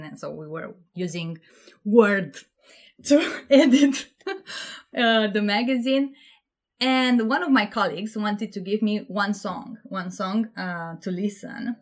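A woman from Romania, talking casually as a podcast guest, laughing often and restarting her sentences with fillers. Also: medium pitch. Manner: warm and giggly, with frequent fillers and restarts. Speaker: podcast guest, project management officer.

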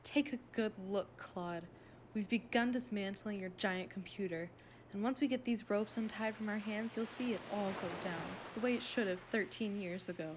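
A woman's voice: medium pitch, moderate pace, close to an everyday voice. A woman playing a firm, defiant rebel mentor in an audio drama, speaking with resolve. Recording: recording with faint background noise.

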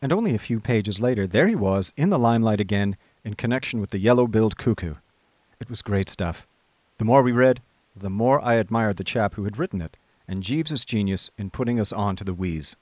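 An actor playing an idle, chummy young upper-class gentleman, narrating a radio drama in breezy, wordy old-fashioned slang. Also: lowish voice, lively intonation, brisk, dry recording. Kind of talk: scripted first-person radio drama narration. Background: music.

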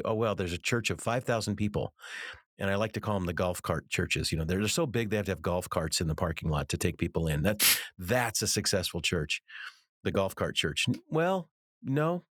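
A man's voice: low voice, no echo, studio quality. A middle-aged American man speaking as an interview guest, earnest and conversational, telling anecdotes and repeating words for emphasis. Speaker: interview guest, author and ministry teacher.

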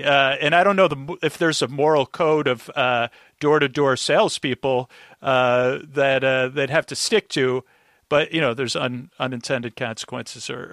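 A man's voice: mid-range voice, a bit bright, no room sound, clean studio sound. A man chatting casually as a podcast guest, with a rambling, wry way of commenting.

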